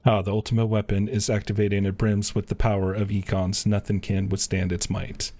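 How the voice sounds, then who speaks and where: A man live streaming a game, chatting and reading dialogue aloud in a flat, stumbling way. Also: lowish voice, brisk.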